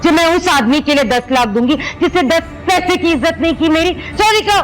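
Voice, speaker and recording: A domineering stepmother in a melodramatic audio drama. Her voice sits very high and she is scornful and taunting, with greedy contempt. Faint music plays behind her. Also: normal speed, low-quality recording. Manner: taunting, scornful, sharp-tongued.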